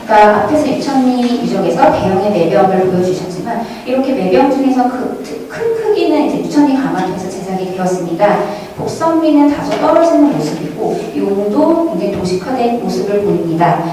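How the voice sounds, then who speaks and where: A woman presenting academic research at a conference in a measured, formal manner, reading from prepared notes. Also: mid-range voice, mellow. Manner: measured, formal scholarly delivery. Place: large echoey lecture hall at a conference. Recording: very echoey hall, distant mic, faint noise.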